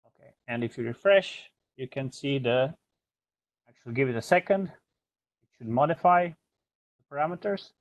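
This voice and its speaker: A man giving an informal software tutorial as a product trainer, explaining step by step in a hesitant way. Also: medium pitch, lively intonation, moderate pace, pauses often, dry recording.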